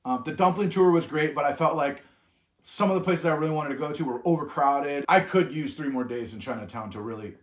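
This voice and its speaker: A man's voice: on the high side, fairly quick. Rambling man in an echoey room. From California. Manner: rambling, with many restarts and trailing off. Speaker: vlog co-host. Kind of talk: reflective casual chat.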